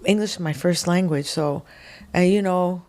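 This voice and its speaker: A woman's voice: fairly low pitch, normal speed. A woman from Quebec, a singer-songwriter guest, telling stories in a casual interview and acting out quotes with laughs. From Quebec, Canada. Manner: animated storytelling, acts out quotes with laughs.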